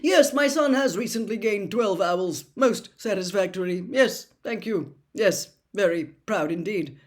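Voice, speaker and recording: A woman's voice: mid-range voice, close to an everyday voice. A woman playing a mad, desperate older wizard official. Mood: officious, rambling. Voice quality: breathy gasping, broken halting delivery. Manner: swings from fluent officious dictation to halting frantic gasps.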